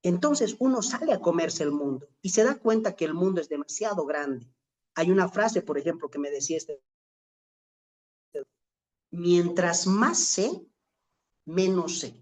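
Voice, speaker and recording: A middle-aged Bolivian lecturer speaks at a medium pitch in a reflective, motivational style. The delivery is emphatic and rhetorical, with questions put to fellow teachers and key phrases drawn out for weight. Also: lively intonation, fairly quick, darkish tone. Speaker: lecturer in an online teacher-training course.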